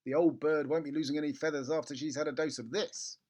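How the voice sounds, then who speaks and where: A man's voice: a little high, steady tone, fast talker, darkish tone, close to an everyday voice. A man voicing a mischievous young storybook boy, scheming to himself with relish. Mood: sly.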